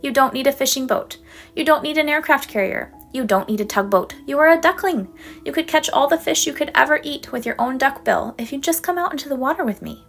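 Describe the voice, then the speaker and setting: A woman voicing a patient, loving mother duck who speaks in a gently teasing, fond way for a storybook. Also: on the high side, a little fast, gravelly, faint music behind.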